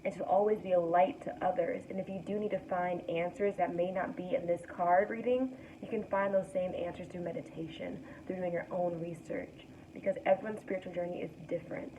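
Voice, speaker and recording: A young woman hosts a calm tarot reading, her voice raspy from an early start. She sounds thin and tinny, like a phone line, and slightly far from the mic. A faint hiss sits under her gentle, guiding delivery. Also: on the low side, a little fast, hardly any pauses. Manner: relaxed, soothing, guides breathing. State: raspy morning voice. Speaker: tarot card reader. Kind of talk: casual spiritual reading to camera.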